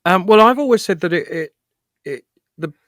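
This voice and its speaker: A man's voice: sits high, animated, a bit bright, no echo, clean studio sound. A middle-aged man explaining his work conversationally as an interview guest, often repeating words as he searches for the next one. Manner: halting, stammered repetitions as he searches for words. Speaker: interview guest, actor and writer.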